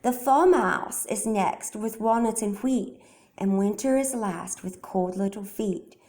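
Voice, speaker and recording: A woman voicing a calm, dreamy male field mouse poet in a children's storybook, speaking simply and deliberately. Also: medium pitch, lightly acted. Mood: theatrical, reciting. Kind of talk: children's storybook read-aloud.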